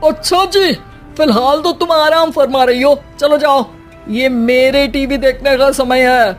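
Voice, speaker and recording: A woman's voice: high voice, fairly even intonation, a little slow, soft background music. A woman playing a grumbling, bossy older Indian mother-in-law in a cartoon family story. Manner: bossy, complaining. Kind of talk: animated cartoon story dialogue.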